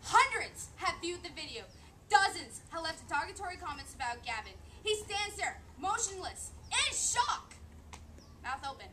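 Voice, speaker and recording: A woman's voice: extremely high pitch, very animated, normal speed. A woman giving a dramatic, declamatory scripted narration as a readers theater performer. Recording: very noisy live recording.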